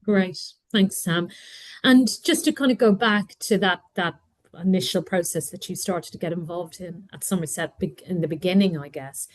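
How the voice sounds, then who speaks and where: A woman's voice: lowish voice, normal speed. A woman hosting a webinar over a video call, friendly as she prompts her guests with questions. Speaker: webinar host.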